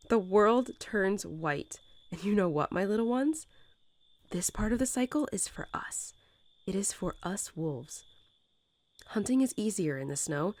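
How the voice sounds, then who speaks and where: A gentle young mother wolf acted by a woman murmurs to her newborn pups in a slightly low, unhurried voice. Her manner is tender and informal, like intimate bedtime storytelling. The recording is very dry, with no room around the voice. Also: no room sound. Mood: gentle wonder, then tender, dreamy. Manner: tender, unhurried, softly chuckling, hesitant informal phrasing. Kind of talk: soothing bedtime roleplay audio, intimate storytelling.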